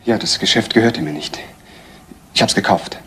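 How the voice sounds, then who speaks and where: An actor playing the bitter, shaken husband of a murdered woman in a radio crime drama, subdued and halting. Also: fairly low pitch, a bit bright, slight background noise, close to an everyday voice.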